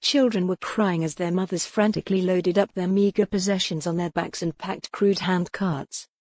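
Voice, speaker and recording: A woman reading a historical romance audiobook in a measured, dramatic storytelling manner. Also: fairly low pitch, steady tone, darkish tone, no room sound, studio quality. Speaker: audiobook narrator. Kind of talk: scripted fiction narration, historical romance.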